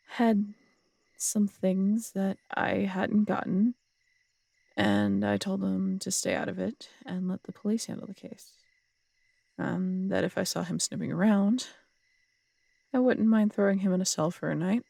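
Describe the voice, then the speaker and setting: Woman acting as husky, very gravelly tough city detective. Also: mid-range voice, no room sound, clean studio sound. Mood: wry, exasperated. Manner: wry, unhurried storytelling with casual swearing. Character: tough, strong-headed woman city detective, wry and fond. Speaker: voice actor in an audio roleplay. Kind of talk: intimate conversational audio roleplay storytelling.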